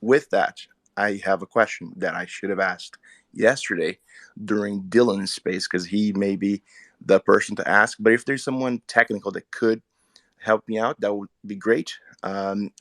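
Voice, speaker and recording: Guy with a low voice, first language portuguese, earnest casual chat, dry sound. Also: steady tone, moderate pace, fairly bright tone, no echo. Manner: earnest, asks a technical question. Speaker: Twitter Spaces speaker.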